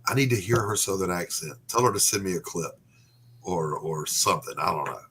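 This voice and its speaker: A male podcast host with a lower voice that swings widely in pitch. He talks casually and with animated, playfully exasperated energy. A faint hiss sits under his voice. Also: brisk. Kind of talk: casual chat.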